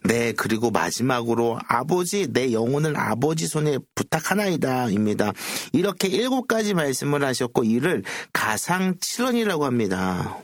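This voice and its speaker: A man's voice: mid-range voice, sing-song, normal speed, few pauses, no room sound, clean studio sound. A man speaking as a pastor, explaining Bible passages in a conversational lesson. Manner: explanatory, quotes scripture. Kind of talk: Bible teaching in a conversational group discussion.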